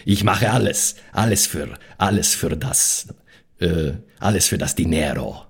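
Gravelly, swaggering Latin gangster. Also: male voice, low-pitched, pitched below the performer's own voice, full character voice. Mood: boastful. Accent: Spanish. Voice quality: gravelly, husky. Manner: boastful, halting broken German. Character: swaggering Latin drug courier and ruthless gangster. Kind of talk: in-character tabletop role-play podcast.

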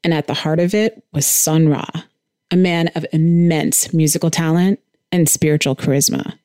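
A woman with a fairly low voice reads scripted podcast narration as the host. The recording is dry and close, with very little room sound. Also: fairly even intonation, moderate pace, warm tone, no room sound.

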